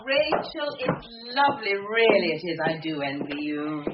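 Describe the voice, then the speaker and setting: An actress playing a tart, witty English wife in a radio drama, sardonic and snobbish. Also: female voice, lowish voice, big pitch swings, normal speed, a loud crowd. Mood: gushing, teasing. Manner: arch, sardonic. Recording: dull lo-fi radio recording.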